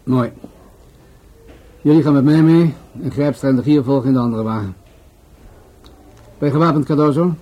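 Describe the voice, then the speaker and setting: A man acting in a radio play as a musing, world-weary police commissioner who speaks deliberately. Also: mid-range voice, lo-fi sound, lightly acted. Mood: brisk, commanding.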